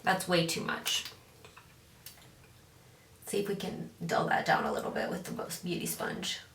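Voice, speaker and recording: A woman's voice: lowish voice, flat delivery, measured pace, gravelly, fairly bright tone, slight background noise. A woman chatting casually while doing her makeup, often losing her train of thought and circling back. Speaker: beauty vlogger. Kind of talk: casual makeup tutorial chat. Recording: roomy echo, mic at a distance.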